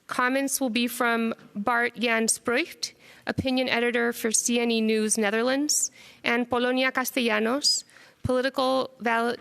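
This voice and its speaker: A woman with a fairly high voice introduces the panel at a conference, reading names and titles in a formal announcing manner. Her voice is smooth and resonant, with a gravelly fry at the ends of phrases. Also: a bit bright. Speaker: moderator.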